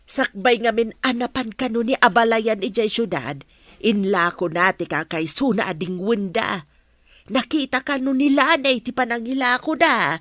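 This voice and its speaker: A woman's voice: fairly high pitch, moderate pace, a bit bright, no echo, lightly acted. An actress with an Ilocano accent playing a blunt, gossipy mother of a grown son in a radio drama, chiding as she talks. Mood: chiding, curious.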